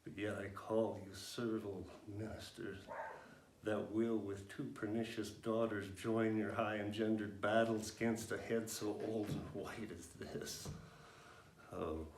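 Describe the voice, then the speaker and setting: A man's voice: fairly low pitch, little pitch movement, a little slow, a little mellow. A man performing a Shakespearean monologue as a wronged, raging old king, declaiming with sudden outbursts and bitter drops. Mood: bitter, wounded. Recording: roomy echo, clear background noise.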